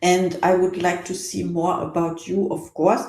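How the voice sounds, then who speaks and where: Slow-talking woman host in an echoey room. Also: on the low side, little pitch movement, gravelly, a little mellow. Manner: encouraging, complimentary. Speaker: podcast host. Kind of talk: casual interview conversation. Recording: roomy echo.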